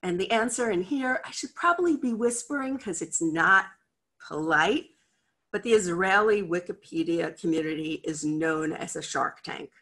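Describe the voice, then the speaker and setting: A middle-aged woman with an American accent speaks over a video call from her office. She gives a conference talk in a chatty, candid way. Her voice is bright and forward. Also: fairly low pitch, animated, moderate pace. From United States. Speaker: head of a library cataloguing department. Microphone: video call webcam.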